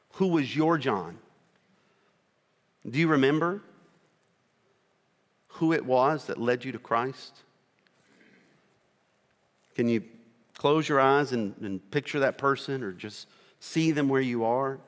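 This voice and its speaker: A middle-aged man with a rural American accent preaching a sermon as a missionary, earnest and slow, with pauses between short phrases. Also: medium pitch. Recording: long reverb of a large hall.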